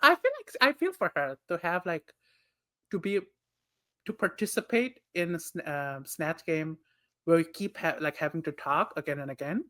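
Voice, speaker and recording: A man's voice: on the high side, lively intonation, moderate pace, bright, forceful tone. A man who grew up in Nepal, a guest on a podcast, chatting casually and teasing with frequent laughter. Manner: giggly, teasing, bursts of laughter.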